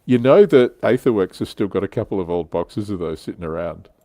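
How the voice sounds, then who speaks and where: Deep-voiced man, dry close sound. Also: lively intonation, a little fast, dark tone, no room sound. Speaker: livestream host presenting slides. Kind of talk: casual hobby panel chat.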